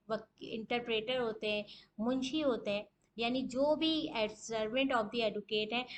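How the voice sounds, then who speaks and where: A woman's voice: a little high, slight background noise. A woman with a Pakistani accent giving an explanatory law lecture in a didactic way, posing questions and answering them, mixing in English terms. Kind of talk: explanatory lecture, switching between Urdu and English legal terms.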